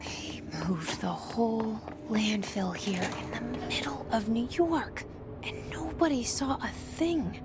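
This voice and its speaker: A woman playing a guarded, determined agency director in a video game, speaking in a measured, hushed, introspective voice that slips toward a whisper. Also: on the high side, over music. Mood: haunted, hushed. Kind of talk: video game cutscene acting, inner monologue.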